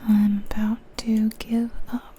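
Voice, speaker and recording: Woman, ASMR whisper, breathy, very mellow, even flat intonation, musing and indecisive, game commentary. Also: medium pitch, slow, a little hiss or hum. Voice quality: breathy, mostly unvoiced whisper. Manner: musing, indecisive thinking aloud. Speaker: ASMR video creator.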